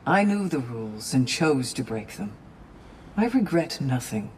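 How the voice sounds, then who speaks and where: An actress playing a solemn, resolute, devoted warrior ally in a video game, speaking with deliberate weight. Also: female voice, rumbling low, very animated, a little mellow, slight background noise, heavily acted. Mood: defiant. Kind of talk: dramatic video game acting.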